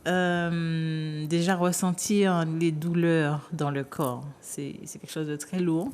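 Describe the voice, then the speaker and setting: A woman therapist, a guest on a talk show, explaining her work calmly with some hesitant fillers. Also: sits low, slow, clear, resonant tone. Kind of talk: interview answers.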